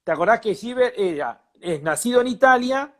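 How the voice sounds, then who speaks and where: A man's voice: sits high, moderate pace. A man with an Argentine accent, co-hosting a casual motorsport chat and telling anecdotes with animation. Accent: Argentine Spanish. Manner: animated storytelling, repeats himself. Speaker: co-host.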